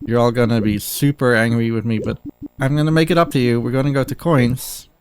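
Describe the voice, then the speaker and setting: A man's voice: mid-range voice, fairly even intonation, brisk, smooth, no echo. A man giving casual, rambling live commentary as he speedruns a game, with a self-deprecating manner. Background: game music.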